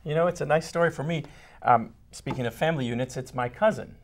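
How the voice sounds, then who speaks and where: A man from Vermont, a children's book author, explaining things in a measured, storytelling way in an interview. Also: a little high. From Vermont, United States. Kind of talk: casual interview chat.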